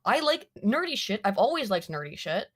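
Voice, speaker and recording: A woman hosting a casual political chat stream, talking in animated, rambling bursts full of restarts and self-corrections. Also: medium pitch, brisk. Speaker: stream host. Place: lives in the UK.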